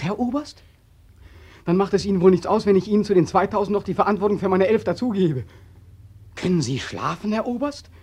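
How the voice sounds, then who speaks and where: A high, rough-edged male voice of a sleepless young war veteran. He speaks with theatrical, bitter insistence, as a haunted corporal in a radio drama who cannot let go. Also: a little mellow, full character voice. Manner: intense, insistent, declamatory, theatrical. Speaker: actor. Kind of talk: German radio play acting.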